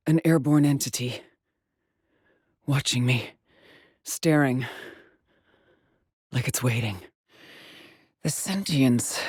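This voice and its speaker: Game lead: weary, obsessive woman explorer, husky and deliberate, slipping into whispers, very dry close recording. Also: extremely low pitch, darkish tone, dry recording, exaggerated voice. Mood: wary, sombre. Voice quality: husky, breathy, often dropping to a whisper. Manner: slow, deliberate, cryptic pronouncements. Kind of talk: dramatic video game monologue, log-like narration.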